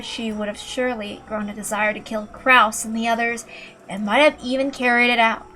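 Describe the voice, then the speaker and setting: A woman's voice: fairly high pitch, a bit bright. A woman reading scripted visual novel narration in a dramatic storytelling style. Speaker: narrator, reader. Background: music.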